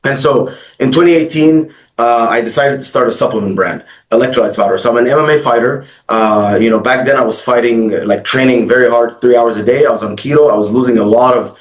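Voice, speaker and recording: A man in his thirties from Egypt and Dubai, telling his story as an interview guest in a casual, fast way with lots of fillers. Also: mid-range voice, roomy sound. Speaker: guest, agency founder.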